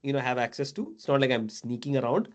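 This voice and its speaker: A man in his thirties who grew up in Dubai, mentoring in a relaxed chat. He talks loosely and at length, leaning on fillers and asking "right?" again and again, in a voice of middling pitch. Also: fast. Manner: chatty, many fillers and tag questions. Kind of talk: casual video call chat.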